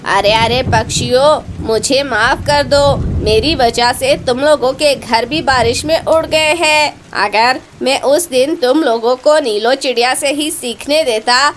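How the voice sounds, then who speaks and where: Woman playing a slow-talking remorseful lazy crow. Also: high voice, slight background noise, pitched below the performer's own voice. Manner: remorseful, pleading. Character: lazy, work-shy male crow, guilty and apologetic. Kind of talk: cartoon story character voice.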